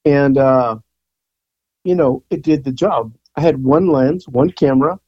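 A man's voice: mid-range voice, unhurried, breathy edge, no echo, dull audio. A man in his sixties, a rock photographer from the Bay Area, chatting casually as an interview guest and rambling with fillers and restarts. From San Francisco Bay Area. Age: 60s.

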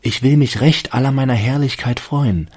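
A man's voice: medium pitch, normal speed, darkish tone, slightly rough, voice pushed up, close to an everyday voice. A man voicing a naive, anxious young fir tree. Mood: eager. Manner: wondering, eager questioning.

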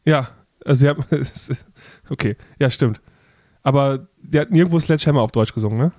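A man's voice: medium pitch, brisk, a little mellow, no echo, clean studio sound. A man in his thirties hosting a podcast in casual chat, talking freely with many fillers and restarts. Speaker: podcast host.

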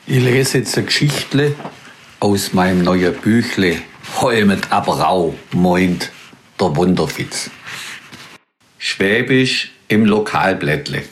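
A man with a broad Swabian accent reads his own humorous dialect piece for a radio slot. He takes his time, with unhurried, drawn-out phrasing, and a wry, easygoing tone in a fairly low voice. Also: expressive, a little hiss or hum. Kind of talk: reading a humorous dialect story aloud.

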